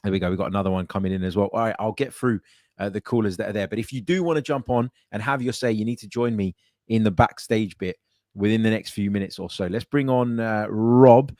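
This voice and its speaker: Male podcast host with a low voice and a dry home-office sound, casual and chatty. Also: fast talker, darkish tone, no room sound. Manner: conversational, chatty, with frequent fillers and restarts. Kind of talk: casual call-in podcast chat.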